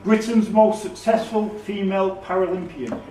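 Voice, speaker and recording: A man's voice: high voice, fairly even intonation, moderate pace, warm tone. A man acting as quizmaster, reading out questions and ruling on answers at a live quiz. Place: echoey indoor room at a live quiz event. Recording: distant mic in echoey room, background noise.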